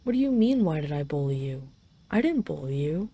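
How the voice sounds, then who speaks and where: A woman's voice: deep voice, sing-song, fairly quick, warm tone, exaggerated voice. A woman voicing a haughty dragon queen in an intimate roleplay drama, imperious and teasing, possessively fond of her captive. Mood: mocking, affectionate. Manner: imperious, teasing, intimate, slightly flustered when admitting affection. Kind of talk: roleplay audio drama addressed to the listener.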